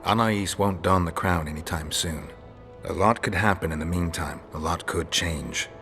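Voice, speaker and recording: A male actor playing a calm, cynical, world-weary monster hunter in a video game, talking in a measured, deadpan, wry way. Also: low voice, faint music behind. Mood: cynical, probing. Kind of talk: dramatic video game acting.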